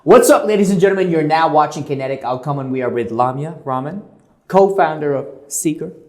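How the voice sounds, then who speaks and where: A man hosting an interview, opening upbeat and then asking questions in a relaxed way. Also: fairly high pitch, a little fast. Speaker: interview host.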